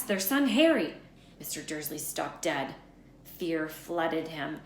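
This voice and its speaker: A woman reading a storybook aloud as an expressive narrator, with emphatic, dramatic phrasing. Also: on the low side. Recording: echoey room, faint background noise.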